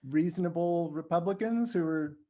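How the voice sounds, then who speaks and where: A middle-aged man from New Mexico being interviewed, talking casually and haltingly, often correcting himself. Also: fairly high pitch, mellow. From New Mexico, United States. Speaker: podcast guest, writer.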